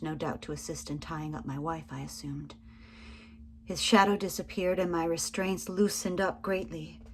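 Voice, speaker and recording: Woman reading a suspenseful story, noisy recording. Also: low voice. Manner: suspenseful, theatrical, swinging from hushed to forceful. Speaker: reader of a written memoir. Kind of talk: dramatic first-person story reading.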